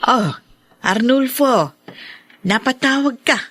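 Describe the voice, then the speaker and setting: Lively, fussing Filipino woman friend. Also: high voice, slow, no echo, soft background music, exaggerated voice. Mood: worried. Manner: expressive, concerned, exclaiming. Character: caring, fussy older female best friend. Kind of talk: Filipino radio drama acting.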